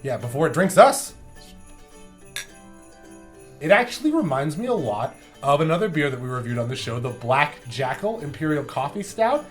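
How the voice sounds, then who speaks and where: A man hosting a review show in casual comic banter, with animated, exaggerated reactions. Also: a little high, moderate pace, pauses often, faint music behind. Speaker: beer review show host.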